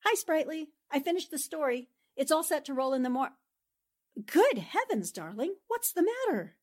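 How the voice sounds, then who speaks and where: A woman's voice: sits high, moderate pace. An actress playing an affectionate, spirited newspaperwoman in a 1940s-style radio drama, speaking expressively and with fond familiarity. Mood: cheerful, then alarmed, concerned. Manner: expressive, chatty, uses pet endearments. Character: affectionate, spirited newspaperwoman concerned for her friend.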